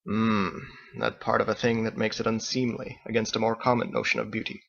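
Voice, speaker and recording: A man playing a wry, courteous, ageless sailor captain in an audiobook story, speaking in a measured, courtly way. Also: fairly low pitch, completely flat delivery, gravelly, a bit bright, muffled recording. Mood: musing.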